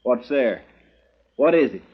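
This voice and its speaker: An actor playing a loyal, folksy western deputy in an old radio drama, with a rural American drawl and deferential manner. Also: male voice, medium pitch, animated, slow pace, background noise. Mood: shocked, uneasy. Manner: deferential, homespun. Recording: tinny, muffled old radio broadcast.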